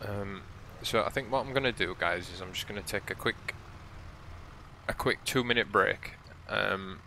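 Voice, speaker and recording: A man with a croaky, hoarse voice, giving relaxed, rambling commentary as he plays a farming game for his channel. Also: fairly low pitch, normal speed, a little hiss or hum. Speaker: let's play host. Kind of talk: casual gameplay commentary.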